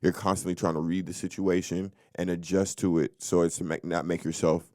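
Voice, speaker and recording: A young man co-hosting a podcast, telling stories in a loose, animated way and acting out what people said. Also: sits low, brisk, no echo, clean studio sound. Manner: animated storyteller, acts out quotes. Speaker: podcast co-host. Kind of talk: casual storytelling chat.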